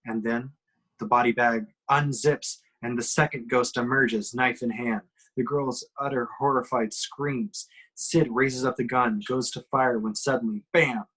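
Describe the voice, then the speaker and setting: A man's voice: medium pitch, steady tone, a little slow, a bit bright, husky, rough recording. A man giving emphatic, suspenseful scripted narration as he reads action descriptions at an amateur table read.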